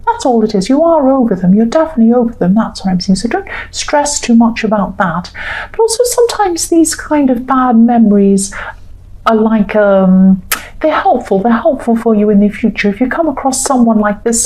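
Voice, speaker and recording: A woman giving a rambling, reassuring tarot reading with a slightly croaky voice. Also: on the high side, low-quality recording. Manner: gentle, reassuring, rambling with self-corrections. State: croaky, clearing throat. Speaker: tarot reader. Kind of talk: casual tarot reading monologue.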